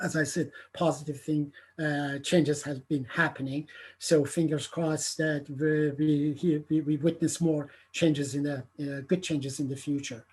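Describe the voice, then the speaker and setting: Man, middle-aged, husky voice, lecture delivery, halting with many fillers. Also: on the high side, little pitch movement. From Iran. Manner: halting, many filler sounds and restarts, earnest. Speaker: theatre artistic director giving a lecture. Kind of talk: prepared lecture talk.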